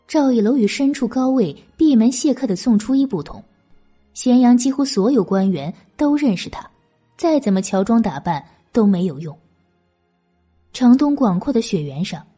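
A woman's voice: a little high, mellow, slightly rough. A woman reading a historical novel as scripted, dramatic audiobook narration.

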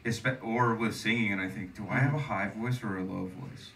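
A young man co-hosting a live podcast on a club stage with a handheld mic, rambling through stories and swinging between loud and soft. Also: on the low side, moderate pace, gravelly, recorded from a distance, a little hiss or hum. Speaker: podcast co-host. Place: comedy club stage before an audience.

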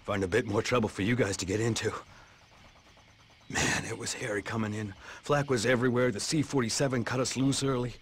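An actor playing a plain-spoken, weary American glider pilot with a husky voice in a video game cutscene. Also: male voice, mid-range voice, steady tone, fairly quick, a little hiss or hum, natural voice. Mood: relieved, practical.

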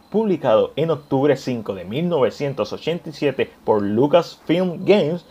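Lively man narrating a scripted video essay. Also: mid-range voice, breathy edge, lo-fi sound. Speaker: host of a video channel on cinema and video games.

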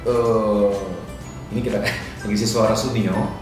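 A man in his thirties, the founder of a children's cartoon project, talking casually in a documentary interview with pauses and restarts. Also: on the low side, level tone. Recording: echoey room, distant mic, music bed.

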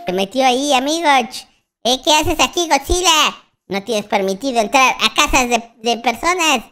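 Squeaky, excitable baby noob boy. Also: moderate pace, faint music behind. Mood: excited, chatty. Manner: exclamatory, dramatic, repetitive. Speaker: YouTube gamer playing a character. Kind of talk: excited children's Minecraft roleplay commentary.

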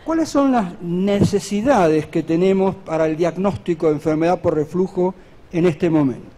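A middle-aged man speaks into a stand microphone at a podium in a large conference hall. His voice sits high yet sounds dark and mellow. He lectures in a measured, earnest way, often posing a question and then answering it.

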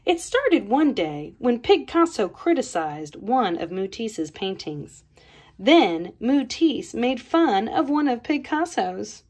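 A woman art educator reading a children's picture book aloud, expressive and playful. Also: a little high, takes it slowly. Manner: expressive storybook reading with playful voices for quotes.

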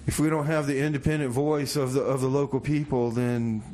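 A man's voice: mid-range voice, little pitch movement, brisk, darkish tone, slight background noise. A man hosting a radio show in a casual, chatty style. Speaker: radio host.

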